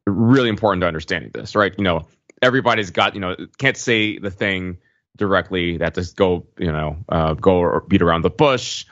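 A man's voice: deep voice, brisk, fairly bright tone, no room sound, muffled recording. A man on a podcast panel in casual, analytical discussion, choosing his words with some hesitation.

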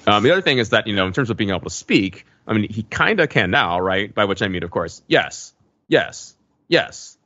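A man's voice: lowish voice, big pitch swings, brisk, bright, forceful tone, no echo, dull audio. A man co-hosting a podcast in casual discussion, tentative and often checking that others agree. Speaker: podcast host.

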